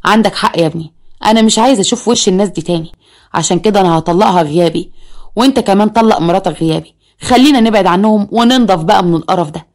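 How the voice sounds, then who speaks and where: A woman's voice: medium pitch, rapid-fire, darkish tone, lightly acted. A woman with an Egyptian accent voicing a blunt, indignant older merchant father.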